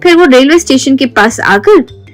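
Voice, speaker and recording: Female narrator for a moral story, voice on the higher side, light music underneath. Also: lively intonation, normal speed. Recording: music behind the voice. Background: background music.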